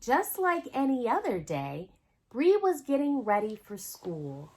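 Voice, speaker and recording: A woman's voice: on the high side, huge pitch swings, measured pace, a little mellow. A woman hosting a children's storytime, reading a picture book aloud expressively and speaking directly to young listeners. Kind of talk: storytime read-aloud with asides to young viewers.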